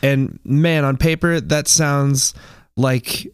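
Clear-voiced man chatting casually on a podcast. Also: mid-range voice, steady tone, slow, no room sound, clean studio sound. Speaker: podcast guest.

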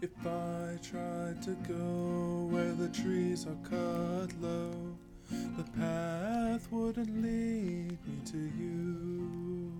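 A lonely wanderer in a fairy tale audio drama, with a high voice and a very slow, solemn delivery. The figure sounds wistful and bound to the road, yearning for a lost love. Prominent plucked guitar runs behind every phrase. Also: male voice, fairly even intonation. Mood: yearning, singing. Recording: acoustic guitar music behind the voice. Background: plucked acoustic guitar.

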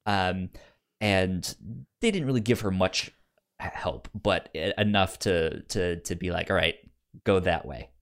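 A man co-hosting a podcast in a casual book discussion, speaking haltingly and often repeating sounds and words as he goes. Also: low voice, fairly bright tone, clean tone. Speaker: podcast co-host.

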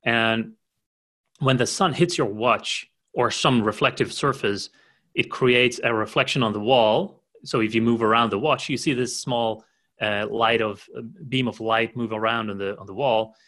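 A man's voice: mid-range voice, fairly even intonation, normal speed, a bit bright. A man co-hosting a tech podcast, chatting casually and explaining things in an easygoing way with light humor. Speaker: podcast co-host. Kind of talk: casual technical chat.

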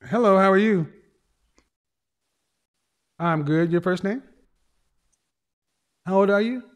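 A man's voice: high voice, slow pace. A man hosting a call-in show, blunt and interrupting, with a big voice and a habit of repeating his phrases. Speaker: show host. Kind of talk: live call-in show talk.

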